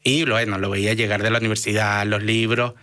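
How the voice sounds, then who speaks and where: A Venezuelan man in his thirties answers interview questions in a somewhat low, bright, forceful voice. He speaks earnestly, often pausing on fillers and starting phrases over. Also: flat delivery. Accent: Venezuelan Spanish. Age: 30s. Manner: earnest, many fillers and restarts. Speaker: guest, doctor and activist.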